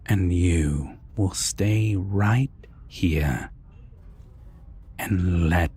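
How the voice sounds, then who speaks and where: A man playing a sinister, possessive vampire villain in a roleplay audio drama, taunting and deliberate with a breathy, gravelly half-whisper. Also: low-pitched, dark tone, heavily acted. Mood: cold taunting. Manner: slow, deliberate, taunting, with long pauses.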